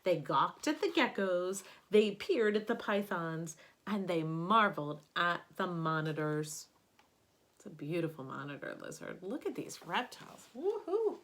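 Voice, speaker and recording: A woman reading a picture book aloud like a teacher at bedtime, with expressive emphasis on key words. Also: on the low side, measured pace.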